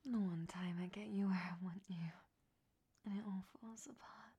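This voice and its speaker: Breathy young-sounding woman whispering close, slow and mellow, bossy-tender roleplay read. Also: mid-range voice, fairly even intonation. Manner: hushed whispering, tsundere scolding turning tender. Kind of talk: whispered audio roleplay monologue.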